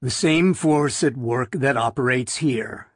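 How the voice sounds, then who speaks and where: A man plays a big, assured boss in an audiobook story. He speaks in a measured, thoughtful way. The sound is dry, with no room to it. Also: medium pitch, breathy edge, dry recording. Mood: grave.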